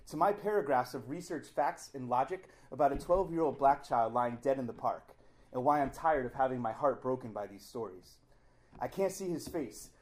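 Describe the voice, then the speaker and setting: A man reciting slam poetry with a deliberate, emphatic, rhetorical delivery. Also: a little high, a little mellow. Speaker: slam poet.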